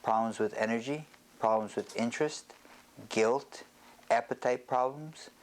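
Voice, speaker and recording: A man speaking as a psychiatrist presenting an educational health talk, explaining in a measured way with hesitant pauses. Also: mid-range voice, slight background noise.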